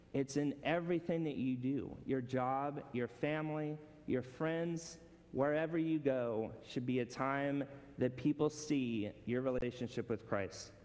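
A man's voice: mid-range voice, a little mellow. Guy preaching, chatty, asks the crowd stuff, echoey church hall. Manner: conversational, asks the congregation questions. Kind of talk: casual sermon.

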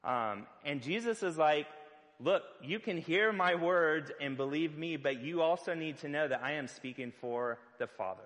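A man preaching a sermon as pastor, loud-voiced and conversational, with filler words and playful asides. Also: on the high side, normal speed, long reverb tail.

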